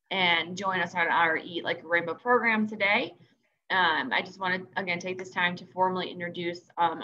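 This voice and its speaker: A woman's voice: fairly low pitch, sharp tone. A woman athletic director introducing a guest speaker over a video call, upbeat with many ums. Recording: slightly dull video-call audio.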